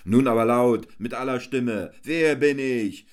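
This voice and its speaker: A man's voice: measured pace, close to an everyday voice. A man voicing a hungry, cheeky male jester who teases and whines in sing-song rhymes. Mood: teasing. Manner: high, whiny, sing-song rhyming.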